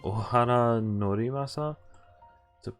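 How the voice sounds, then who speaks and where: A man's voice: medium pitch, unhurried, vocal fry. A man streaming a visual novel, reading its text aloud with casual commentary over game music. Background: game background music.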